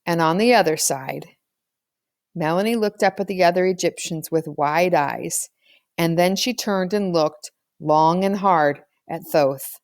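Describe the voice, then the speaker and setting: A woman's voice: on the low side, measured pace, no echo, studio quality. A woman reading a children's novel aloud as scripted storybook narration. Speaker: audiobook reader.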